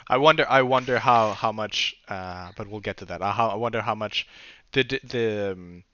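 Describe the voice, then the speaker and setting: A man hosting a tabletop game as game master, slightly raspy from a cold, laughing often and rambling with restarts. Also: lowish voice, moderate pace, fairly bright tone, no room sound, muffled recording.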